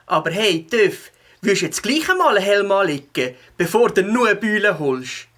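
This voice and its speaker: Man doing eager young cyclist in a kids audio play, swiss accent, high bouncy voice, really friendly and upbeat. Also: big pitch swings, moderate pace, a bit bright. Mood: helpful. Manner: enthusiastic, encouraging. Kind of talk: children's audio drama acting.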